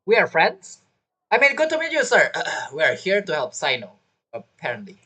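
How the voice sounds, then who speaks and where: A game streamer with a lively, swinging voice gives casual commentary. They joke and tease, talking back to the characters. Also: medium pitch, moderate pace, breathy edge. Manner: joking, teasing, talks back to characters.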